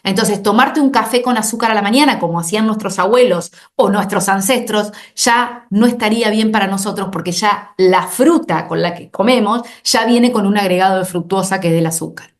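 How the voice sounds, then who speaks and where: An Argentine woman speaks as a guest doctor on a podcast. She explains things in an earnest, conversational way and often restarts and repeats words as she thinks. Her voice sits at a middle pitch. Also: fairly quick, hardly any pauses. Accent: Argentine Spanish. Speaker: obesity specialist doctor, guest.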